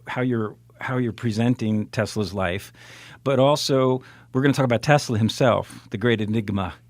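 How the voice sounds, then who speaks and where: A man's voice: mid-range voice, normal speed, dry recording. Man co-hosting a bantering comedy show. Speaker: show co-host.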